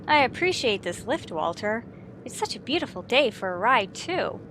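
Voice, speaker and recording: A woman's voice: fairly high pitch, big pitch swings, moderate pace, a bit bright, slight background noise, low-quality recording. An actress playing a wry, wisecracking woman English teacher with dry, sardonic delivery in a 1950s radio sitcom. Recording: old radio broadcast recording.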